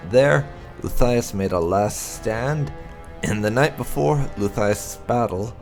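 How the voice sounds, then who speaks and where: A man with a gravelly voice reading fantasy game lore aloud in a measured, solemn storytelling manner. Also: fairly low pitch. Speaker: gaming channel host.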